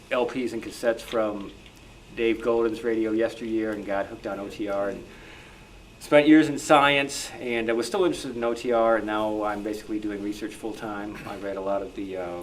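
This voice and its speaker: A middle-aged man speaking informally and haltingly as a researcher at a club meeting. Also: lowish voice, steady tone, a little hiss or hum, low-quality recording. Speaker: old-time radio researcher.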